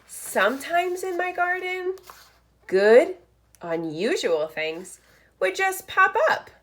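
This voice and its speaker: A woman reading a picture book aloud to young children like a teacher, in expressive, deliberate short phrases with asides. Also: very high-pitched.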